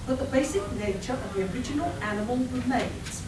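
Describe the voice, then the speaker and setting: Woman as brisk young inventor heroine, high voice, radio play in an echoey hall. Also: close to an everyday voice. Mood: reasoning. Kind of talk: live comedic radio play acting. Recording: echoey hall, far mic, noisy.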